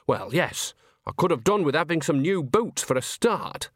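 A man's voice: fairly high pitch, big pitch swings, normal speed, dry recording, clean studio sound, voice pushed up. A man voicing a chatty, homely, cheeky old woman witch in an audiobook, folksy and rambling. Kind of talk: audiobook character acting.

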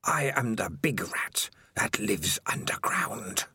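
A man voicing a sinister, hidden giant rat who speaks in a slow, hissing whisper. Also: on the low side, expressive, pushed and bright, breathy edge, no room sound, studio quality, heavily acted. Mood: menacing. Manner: slow, drawn-out, coaxing.

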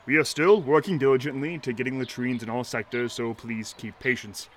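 An actor playing an earnest, pompous, kindly shantytown mayor with formal, florid speech in a 1930s audio drama. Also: male voice, mid-range voice, steady tone, a little fast, slight background noise, close to an everyday voice. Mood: rousing, solemn.

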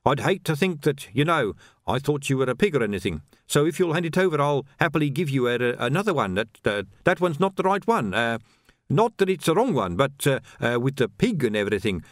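A man's voice: sits high, sing-song, normal speed, no echo, clean studio sound, voice pushed up. A man voicing an eager, shifty young pie seller with a nervous salesman's patter, in an audiobook story. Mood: flustered, nervous. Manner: salesman's patter, flustered stammering.

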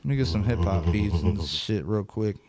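A man's voice: fairly low pitch, expressive, moderate pace, a loud crowd. A man co-hosting a loose, profane chat show, joking and freestyling. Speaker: podcast co-host. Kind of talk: casual chat, freestyle rapping. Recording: music playing behind.

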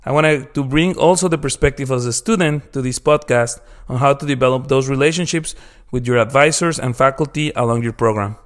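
Halting man hosting a conference podcast. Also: medium pitch. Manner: friendly, halting, repeats words, non-native grammar. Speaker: podcast host. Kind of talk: interview podcast. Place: conference venue.

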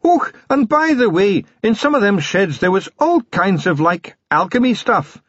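A man's voice: sing-song, unhurried, fairly bright tone, highs cut off, heavily acted. A man doing a sly little Scottish gnome constable. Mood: sly, earnest. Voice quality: high, lilting, brogue-heavy. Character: tiny fierce sly male Feegle-raised gnome constable.

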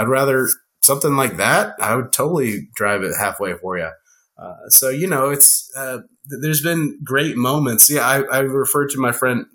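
A man's voice: medium pitch, fairly bright tone. A man telling friendly stories as a podcast guest in casual chat, rambling with many restarts and fillers.

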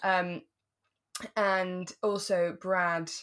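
A woman's voice: on the low side, flat delivery, drawn-out words, fairly bright tone. A British woman co-hosting a podcast in a casual, chatty style, speaking informally and with emphasis. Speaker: podcast co-host.

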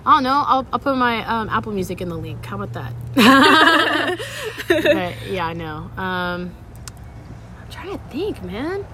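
A woman's voice: on the high side, big pitch swings, unhurried, bright, forceful tone. A young woman DJ from California, chatting casually in an interview with slangy, laid-back talk and easy laughter. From Sacramento, California. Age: young adult. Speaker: DJ guest.

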